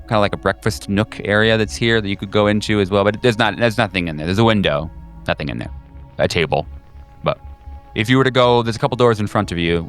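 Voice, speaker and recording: A man with a low voice runs a tabletop horror game as game master. He describes scenes in a halting, improvised way, working out details aloud. Clear background music plays under him.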